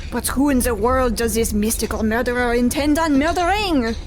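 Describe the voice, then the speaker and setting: Woman playing a high-voiced flirtatious femme fatale. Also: normal speed, lightly acted. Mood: coy, dramatic. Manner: coquettish, theatrical. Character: flirtatious French femme fatale opera singer. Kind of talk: old-time radio comedy acting.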